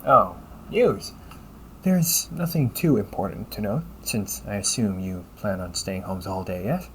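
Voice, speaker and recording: A man voicing an upbeat, teasing AI companion in an audio roleplay, polite and fussily helpful, with a gently nagging manner. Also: lowish voice, animated, unhurried, a little hiss or hum. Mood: helpful, chatty. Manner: polite, chirpy, gently nagging, butler-like phrasing. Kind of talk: scripted audio roleplay addressed to the listener.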